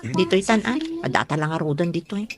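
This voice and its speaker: A woman's voice: on the low side, very animated, a little fast. An actress with an Ilocano accent playing a coy, easily irritated young working woman in a radio drama. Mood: mildly annoyed.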